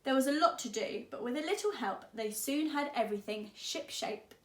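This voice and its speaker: A woman teacher reading a children's story aloud, expressively and deliberately, drawing out words. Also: high-pitched, expressive, roomy sound.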